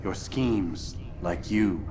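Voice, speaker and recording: A stern, low-voiced young assassin. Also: male voice, extremely slow, noisy background. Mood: stern, resolute. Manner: curt, solemn, formal. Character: stern, stoic young male medieval assassin, defiant. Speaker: video game character. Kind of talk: dramatic video game cutscene acting.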